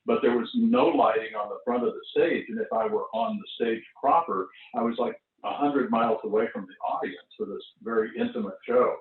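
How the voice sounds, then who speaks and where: A man's voice: mid-range voice, very animated, brisk, creaky, hardly any pauses. A man in his seventies, an actor casually reminiscing in an anecdotal, chuckling storytelling way. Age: 70s. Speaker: actor recalling a stage show. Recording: roomy, distant, tinny phone-like call audio.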